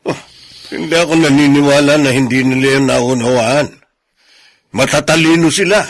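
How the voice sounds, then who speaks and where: A firm older Filipino man with a husky, mid-pitched voice, as acted by a man. He is fair-minded and critical, speaking in radio drama style. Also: normal speed, natural voice. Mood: disapproving. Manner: firm, reasoning.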